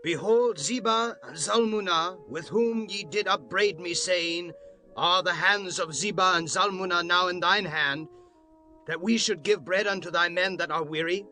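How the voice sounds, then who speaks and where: Stern biblical commander: high, forceful voice, declamatory scripture-drama delivery over music. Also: male voice, unhurried, exaggerated voice. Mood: threatening. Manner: declamatory, archaic formal speech. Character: stern, commanding male biblical war leader.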